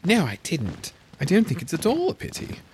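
A man's voice: a little high, wildly swooping pitch, normal speed, darkish tone, a little hiss or hum, voice pushed up. A man voicing a bold, curious young girl in a storybook, with a decided, plain-spoken manner. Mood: puzzled, uneasy.

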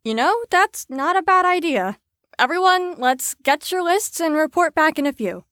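A woman's voice: very high-pitched, animated, normal speed, a bit bright, no echo, clean studio sound. A woman playing a chipper but firm mental facility staffer in an audio drama, with a perky, authoritative manner.

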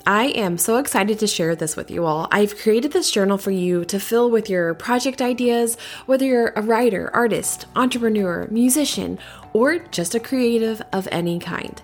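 A woman's voice: medium pitch, lively intonation, normal speed, soft background music. A woman reading a scripted ad in an upbeat, promotional way. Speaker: ad reader. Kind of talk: scripted ad read.

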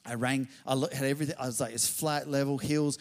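A middle-aged man preaching a sermon, telling a story and building to loud exclamations. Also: mid-range voice, fairly even intonation, quick pace. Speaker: pastor.

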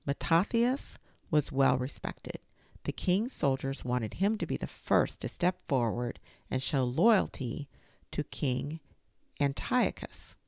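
A woman with an unusually deep voice reads a children's history book aloud as the host of a storytime show. Her tone is gentle and careful, with a gravelly edge. The recording is close and dry, with no room sound. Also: lively intonation, slow pace, no echo. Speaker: storytime reader.

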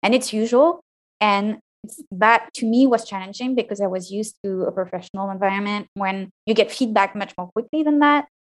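A woman in her thirties with a fairly high voice, answering interview questions frankly and thoughtfully, often restarting her sentences. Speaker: interview guest, PhD graduate.

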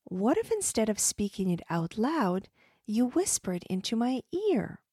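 A woman voicing a kind, wise young princess who speaks sweetly and softly. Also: mid-range voice, very animated, measured pace, breathy edge, dry recording, studio quality, pitched above the performer's own voice, exaggerated voice. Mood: gentle. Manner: sweet and soft, tender.

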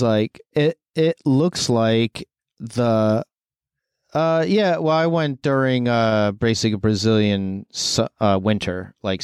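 A man's voice: medium pitch, darkish tone, clear, resonant tone, dry recording, studio quality. A man talking enthusiastically in a casual podcast chat, with frequent fillers.

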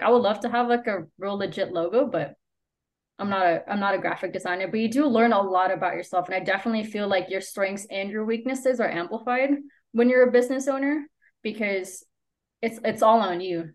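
A woman whose first language is Spanish, chatting casually as a podcast guest, peppering her informal talk with "like". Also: mid-range voice. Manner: informal, frequent "like" as filler. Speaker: podcast guest, copywriter and business owner. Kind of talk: casual interview conversation.

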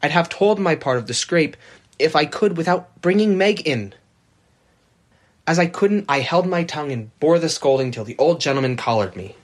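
A woman's voice: deep voice, animated, moderate pace. A woman reading aloud, voicing a proud, hot-tempered young man who sulks and talks back. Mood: indignant. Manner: sulky, defiant.

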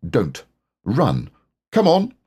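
A man voicing a bossy, self-important, impatient male rabbit in a storybook, briskly ordering others along. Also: mid-range voice, huge pitch swings, warm tone, husky, pitched above the performer's own voice, heavily acted. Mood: flustered, urgent.

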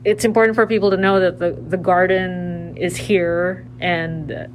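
A woman's voice: on the low side, flat delivery, measured pace, rough recording. A woman on a garden staff giving a conversational interview, hesitantly searching for words. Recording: clear ambient background noise.

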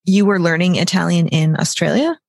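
A woman's voice: on the low side, fairly even intonation, fairly quick, gravelly, darkish tone, dry recording, clean studio sound. A woman hosting a travel podcast, reading a polished, upbeat intro and then interviewing her guest. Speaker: podcast host.

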